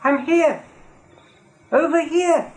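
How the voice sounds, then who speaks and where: An actress playing a small, dreamy, stubborn young rabbit seer in a radio play, breathy and husky. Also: female voice, high-pitched, takes it slowly, a little mellow. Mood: untroubled. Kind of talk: radio drama acting.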